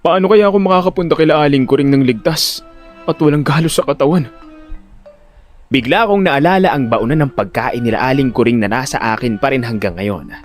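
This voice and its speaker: A man with a Filipino accent playing a jittery, vain but good-natured young everyman who tells his own story in an audio drama, expressive and dramatic. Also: medium pitch, brisk, lightly acted. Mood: storytelling. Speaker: narrator and lead actor. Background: music.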